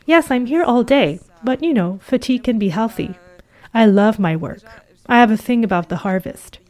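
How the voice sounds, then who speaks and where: A woman from Ukraine, a harvest worker, speaking interview answers as a voice-over in a steady, matter-of-fact way. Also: on the high side, normal speed. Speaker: harvest worker at the pressing center.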